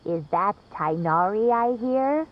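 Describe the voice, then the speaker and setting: A woman's voice: medium pitch, expressive, slow pace, highs cut off, slight background noise. A woman playing a kindly, mature, genteel professor in video game dialogue. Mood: pleasantly surprised.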